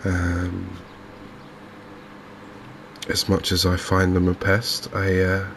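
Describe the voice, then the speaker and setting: A man hosting a gaming video in a softly spoken ASMR commentary style, unhurried and hesitant, musing to himself. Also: low voice, monotone, a little hiss or hum.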